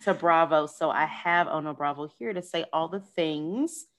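A woman hosting a podcast in a casual, playful, animated way, calling listeners "y'all" and "honey.". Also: low-pitched, normal speed. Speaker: podcast host. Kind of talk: casual chat.

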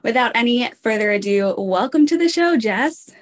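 A woman's voice: lively intonation, normal speed. A young woman hosting a podcast, reading an upbeat intro and then chatting casually, saying "like" a lot. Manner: upbeat, bouncy pitch, says "like" a lot. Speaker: podcast host.

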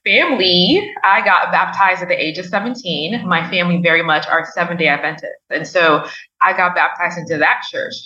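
Liberian-born woman guesting on a podcast, measured and emphatic, lowish bright voice, roomy dull recording. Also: recorded from a distance. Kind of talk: personal storytelling in an interview.

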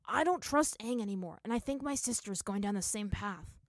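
A woman's voice: medium pitch, lively intonation, brisk, no echo, clean studio sound, close to an everyday voice. A woman voicing a moody, skeptical teenage boy in a story, complaining and argumentative. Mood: troubled, distrustful.

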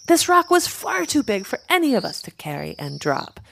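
Indignant female crow for a storybook, acted by a woman: suspicious, emphatic, gravelly, animated. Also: fairly low pitch, normal speed, dry recording. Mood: urgent. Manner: emphatic, grumbling, earnest.

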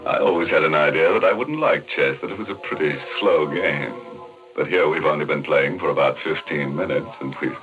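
A man with an extremely deep voice plays host on an old radio horror program, over music. He delivers macabre puns wryly through a thin, narrow recording. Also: brisk. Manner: wry, macabre punning. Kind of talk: 1940s radio horror hosting.

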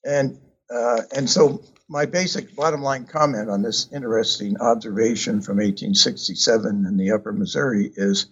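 A man with a low, husky voice explains his book in a loose, conversational way. He keeps stopping to fill gaps with ums and uhs. The recording sounds dull and lo-fi. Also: normal speed, fairly bright tone. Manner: hesitant, many ums and uhs. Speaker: author discussing his book. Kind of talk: casual conversational talk.

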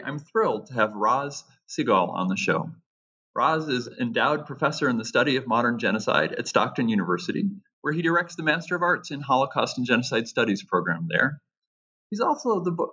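Man, podcast host, earnest, restarts, husky voice, far from the mic. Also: medium pitch, fairly bright tone. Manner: earnest, some stammered restarts. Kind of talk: podcast interview intro. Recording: muffled, distant from the mic.

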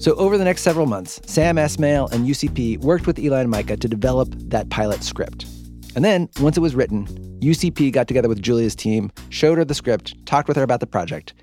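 An American man hosts a documentary podcast. He tells the story in a conversational, scripted style and asks casual interview questions. His pitch is middling, and music plays softly behind him. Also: expressive, a little fast.

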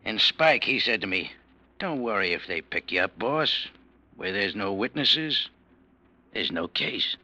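Low, rough-edged menacing crime boss. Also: male voice, big pitch swings, unhurried, bright, forceful tone, heavily acted. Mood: cold threat. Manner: sardonic, slangy, menacing. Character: menacing crime boss, smooth-talking man, veiled threats. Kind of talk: old-time radio crime drama acting.

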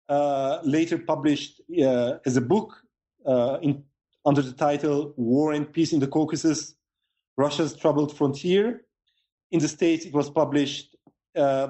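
A middle-aged man from Lebanon, an author and professor interviewed remotely from home, speaking in a measured way and pausing often to find words. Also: fairly high pitch, mellow. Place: home in a mountain village.

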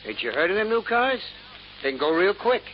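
A wisecracking petty crook of old radio drama, slangy and sardonic, in a fairly high voice. The recording is dull and lightly hissy. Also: male voice, big pitch swings, a little fast. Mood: wry, bantering. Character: wisecracking small-time slot-machine racketeer, one of two brothers.

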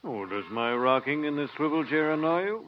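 A man's voice: fairly high pitch, expressive, moderate pace, close to an everyday voice. A man playing a smug, evasive lawyer, speaking in a dry, precise way in an old radio drama. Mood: smug, cool. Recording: muffled vintage radio, faint noise.

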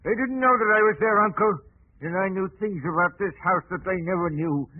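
An actor playing a scheming, murderous middle-aged uncle, melodramatic and agitated, in 1940s radio drama style. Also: male voice, sits high, brisk. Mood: bitter confession. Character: scheming murderous uncle, desperate, contemptuous father. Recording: noisy muffled vintage radio broadcast.

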